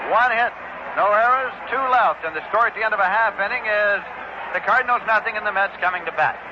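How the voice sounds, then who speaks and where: An American man calling baseball play-by-play as live radio commentary in a measured, explanatory way. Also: high-pitched. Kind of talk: live radio sports commentary with sponsor read. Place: open-air ballpark broadcast booth. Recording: dull vintage AM radio, loud crowd, heavy noise. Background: loud stadium crowd and noise.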